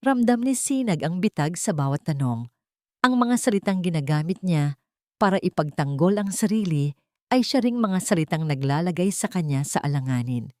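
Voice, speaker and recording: A female narrator tells a dramatic story in measured phrases. Her voice sits a little low and moves expressively, building suspense. The sound is dry and close. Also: expressive, dark tone, no echo.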